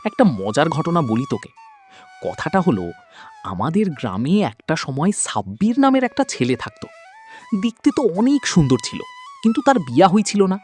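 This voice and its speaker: A man playing a wealthy, chatty village gentleman telling amusing anecdotes in a colloquial cartoon style. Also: sits high. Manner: animated, colloquial storytelling.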